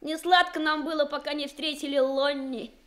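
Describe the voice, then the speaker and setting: A woman's voice: high voice, steady tone, normal speed, fairly bright tone. A woman voicing a young boy in an old radio play, speaking politely and earnestly. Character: young boy, grateful and humble. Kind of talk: 1960s-era Soviet radio play acting.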